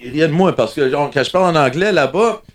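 A man's voice: a little high, lively intonation, quick pace. A man with a Franco-Ontarian French accent chatting casually and telling stories in slangy French mixed with English. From Ontario, Canada. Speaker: touring band member, guest. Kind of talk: casual bantering chat, storytelling.

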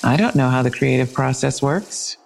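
A woman's voice: rumbling low, expressive, moderate pace, vocal fry, a little mellow, slight background noise, exaggerated voice. A woman playing a persistent, sardonic police detective in an audio drama, questioning in a pointed, pressing way. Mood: insistent.

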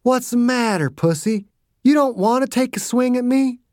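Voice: medium pitch, expressive, normal speed, darkish tone, no echo, clean studio sound, pitched above the performer's own voice, lightly acted. A reader voicing a tough, older male fighter who goads his opponent with a sneer. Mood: taunting. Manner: sneering, goading. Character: taunting tough older man, ex-soldier type. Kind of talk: audiobook character voice.

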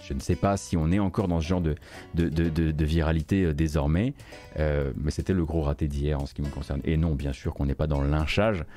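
Deep, bright-voiced man, emphatic. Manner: emphatic, repeats words for stress. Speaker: host of a video game news stream. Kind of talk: live news recap with opinion commentary.

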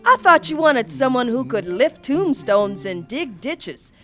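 A woman's voice: high voice, very animated, measured pace, sharp tone, faint music behind, full character voice. An actress playing a protective mother of five boys in a radio drama, speaking in an earnest, guarded way.

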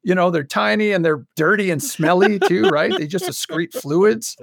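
A man being interviewed as a show creator, chatting casually in a halting way with wry, humorous asides. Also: high-pitched, animated, vocal fry, a bit bright, no room sound, studio quality. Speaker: podcast guest, audio drama creator. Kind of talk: casual animated interview chat.

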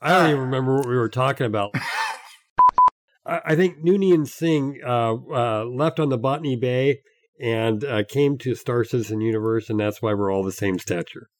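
Male co-host, middle pitch, easygoing, teasing, reads promos smoothly. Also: normal speed, noisy background. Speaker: podcast co-host. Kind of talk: scripted outro, casual chat.

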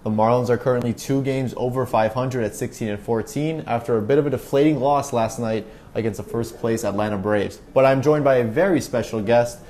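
A male podcast host with a dark, mellow tone and a mid-range pitch. He speaks as an interviewer on a sports show. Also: brisk, few pauses.

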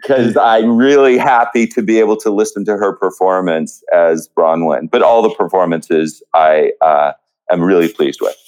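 A man reflecting in an interview as a theatre writer, speaking haltingly and pausing often mid-thought. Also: low-pitched, a little mellow, low-quality recording. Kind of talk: reflective panel interview talk.

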